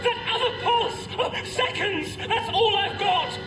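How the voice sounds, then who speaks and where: A man's voice: extremely high pitch, takes it slowly. An actor playing a dying man pleading desperately in a radio drama, frantic and anguished, in an echoing space. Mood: terrified begging. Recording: echoing chamber with music behind.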